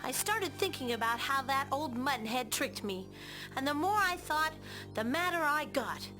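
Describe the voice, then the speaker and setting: A boastful, determined little dwarf in a video game. The high voice is brash and confident, with music underneath. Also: female voice, lively intonation, moderate pace, rough recording. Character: feisty, boastful dwarf artisan, greedy and determined. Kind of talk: video game cutscene acting.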